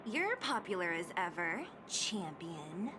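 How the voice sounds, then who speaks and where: A woman's voice: lowish voice, big pitch swings, slow pace, background noise. An actress voicing a tough, aloof young woman fighter in a video game, speaking in a dry, sardonic, exasperated way. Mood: cool, sardonic. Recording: loud music under the voice.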